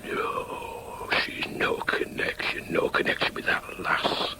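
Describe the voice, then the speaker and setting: A man's voice: in a whisper, natural voice, rough recording. An actor playing a hesitant, plain-spoken middle-aged father with a Northern English accent in a radio drama. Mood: awkward, regretful. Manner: halting, awkward, hesitant.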